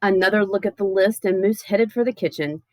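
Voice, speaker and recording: A woman reading a children's picture book aloud in a playful, expressive way with theatrical emphasis. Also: mid-range voice, a little fast, background noise. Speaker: storybook reader.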